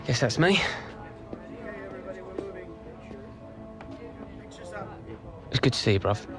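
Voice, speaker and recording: An actor playing a cocky, blunt young English footballer in casual video game dialogue. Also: male voice, medium pitch, big pitch swings, rapid-fire, over music. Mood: friendly. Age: young adult.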